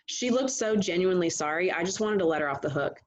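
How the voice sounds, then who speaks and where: Low-pitched woman reading a story aloud. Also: lively intonation, fast, slight background noise. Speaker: read-aloud reader. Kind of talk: expressive storybook narration.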